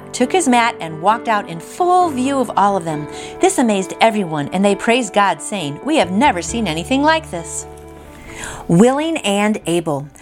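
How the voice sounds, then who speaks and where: Lady doing a devotional, encouraging and friendly, talks right to you, mid pitch, some music behind her. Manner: encouraging, friendly direct address to listeners. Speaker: devotional podcast host. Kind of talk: devotional reading with chatty personal commentary. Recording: voice over background music.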